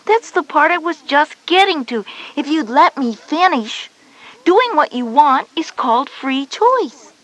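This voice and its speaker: A woman's voice: high voice, sing-song, a little slow, a bit bright, heavily acted, rough recording. A woman playing a knowing, slightly bossy older-sister schoolgirl, explaining things emphatically in an educational audio story.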